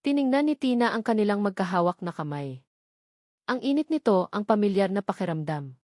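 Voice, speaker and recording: A woman's voice: mid-range voice, animated, a little fast, darkish tone, no echo, studio quality. A woman narrator reading a scripted romantic drama in a storytelling style.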